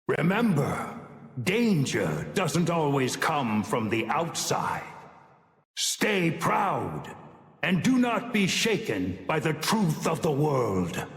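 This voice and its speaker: A man's voice: fairly high pitch, full character voice. A man playing an ancient, imperious sage with divine power in a video game, speaking in stern, solemn, grandiose proclamations. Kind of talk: theatrical game voice lines. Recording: long cavernous reverb on the voice.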